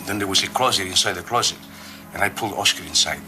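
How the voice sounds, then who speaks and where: A man acting as a janitor who witnessed a crime, in an audio drama. His voice is low and hesitant as he gives his account, with music clearly behind him. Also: quick pace, lightly acted. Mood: uneasy, explaining.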